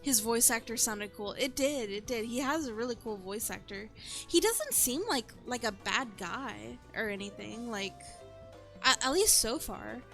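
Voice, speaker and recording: A woman's voice: a little high, lively intonation, a little slow, a bit bright, faint music behind. A woman streamer giving casual gameplay commentary, rambling with lots of fillers and restarts. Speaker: vtuber streamer.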